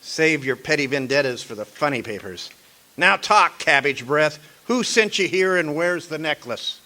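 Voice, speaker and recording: A man's voice: a little high, lively intonation, moderate pace, pushed and bright. A man playing a cocky hard-boiled private detective in a comic old-time radio drama, slangy and sardonic. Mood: cocky, scornful. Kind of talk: 1940s-style comic radio detective acting.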